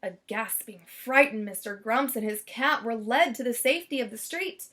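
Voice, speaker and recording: A woman reading a children's picture book aloud as storyteller, expressively and with deliberate dramatic emphasis. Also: a little high, expressive, fairly bright tone.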